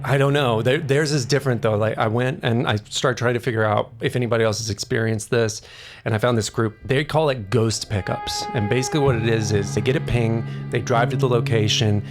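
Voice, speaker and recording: Actor as an anxious, haunted rideshare driver. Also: male voice, medium pitch, steady tone, music in the background, lightly acted. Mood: uneasy, earnest. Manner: halting, repeats words, unsettled. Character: haunted, anxious male rideshare driver recounting strange pickups. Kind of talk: naturalistic scripted thriller podcast acting.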